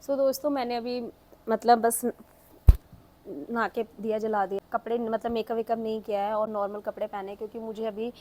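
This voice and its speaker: A woman with a fairly high voice hosts a casual daily vlog. She is chatty and addresses her audience directly, like friends and family. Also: steady tone, a little fast, darkish tone, poor audio. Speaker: vlogger filming her daily home routine.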